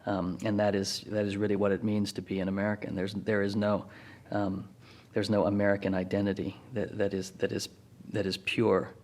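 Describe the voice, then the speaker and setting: A man speaking as a novelist in a reflective interview, thoughtful and halting as he picks his words. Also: on the low side, monotone, a little mellow.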